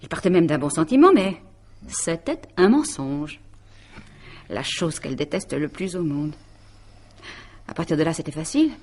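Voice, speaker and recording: An actress playing a calculating, possessive middle-aged woman in a radio mystery drama, speaking in a taunting, deliberate, self-satisfied way. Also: sits low, lively intonation, darkish tone. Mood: smugly triumphant.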